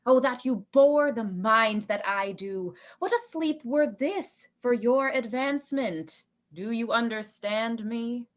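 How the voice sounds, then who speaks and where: A woman playing a scheming, ambitious male lord in a Shakespearean radio play, insinuating and deliberate as a persuasive tempter. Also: on the high side. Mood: insinuating, coaxing.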